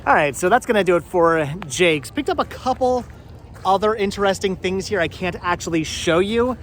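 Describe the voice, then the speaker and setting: A middle-aged man hosting a casual thrifting vlog, chatting haltingly and often repeating his words. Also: sits high, animated, a bit bright, a little hiss or hum. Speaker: vlog host. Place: out and about at flea market.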